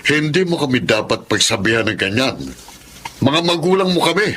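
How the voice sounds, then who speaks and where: Actor as a smug wealthy creditor, even-pitched and stern, with background noise. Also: male voice, normal speed. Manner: condescending, stern.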